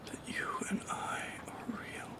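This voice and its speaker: An ASMR performer voicing a lonely, wistful shadow creature that haunts at night, musing slowly and hesitantly in a soft, breathy whisper. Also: natural voice. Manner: slow, hesitant, philosophical musing. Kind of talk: soft-spoken ASMR monologue.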